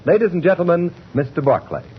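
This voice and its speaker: A man's voice: on the high side, animated, fast talker, a little hiss or hum. A man announcing on 1930s radio, reading scripted sponsor copy in a polished, declamatory way. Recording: muffled old radio recording.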